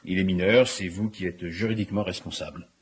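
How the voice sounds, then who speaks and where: An actor playing a middle-aged police superintendent in a radio drama, courteous and tactful but firm, speaking formally. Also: male voice, low voice, fairly even intonation, brisk, husky. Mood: measured, firm. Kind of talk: naturalistic French radio drama acting.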